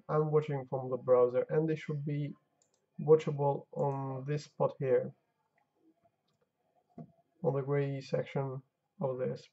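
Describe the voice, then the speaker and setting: A tired game-dev streamer, his Albanian, drifts between mumbling over his code and chatting with viewers. His voice is gravelly and unhurried. The stream audio is dull and lo-fi. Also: mid-range voice. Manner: muttering to himself while testing, talking to chat. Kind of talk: casual live-stream chat while coding.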